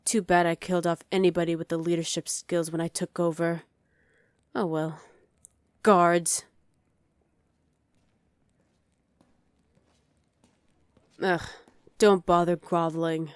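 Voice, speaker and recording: A woman's voice: on the low side, flat delivery, normal speed, clean tone, no echo, studio quality, close to an everyday voice. A woman voicing a dominant, haughty evil queen in an ASMR roleplay, imperious, sly and condescending. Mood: scornful, exasperated, then imperious, threatening.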